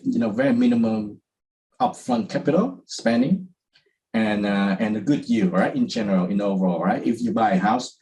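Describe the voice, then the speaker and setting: A man's voice: fairly low pitch, darkish tone, rough recording. A man from Vietnam, a guest in an interview, who talks casually with many fillers and restarts. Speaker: interview guest, property investor.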